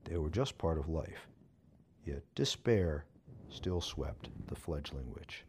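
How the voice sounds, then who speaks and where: Male narrator for literary fiction, very low pitch, dark mellow tone. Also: animated, slow, slight background noise. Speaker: story reader. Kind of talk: scripted narration of a short story.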